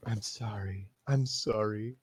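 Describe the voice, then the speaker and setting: A bashful teenage girl who keeps apologizing, voiced very low by a man in a dry close-miked reading. Halting lines, no polish, just an impromptu read-through. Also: slightly rough, no room sound, soft background music. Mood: flustered. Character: shy, flustered teenage schoolgirl.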